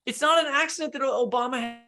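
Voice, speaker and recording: A man's voice: very high voice, fast talker, bright, forceful tone. A man co-hosting a podcast, speaking casually about politics in an emphatic, blunt way. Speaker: podcast co-host.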